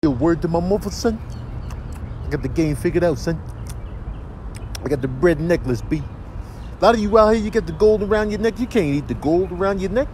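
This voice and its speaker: A man's voice: high-pitched, brisk, pauses often, a little mellow, a little hiss or hum, lightly acted. A man voicing a smug, streetwise pigeon with a New York accent, bragging in street slang in a comic animal voiceover.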